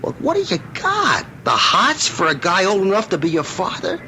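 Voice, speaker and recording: An actor playing a cynical, scheming young hustler in old-time radio drama, slangy and insinuating. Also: male voice, high voice, wildly swooping pitch, moderate pace, sharp tone, a little hiss or hum, exaggerated voice. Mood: pushy, taunting.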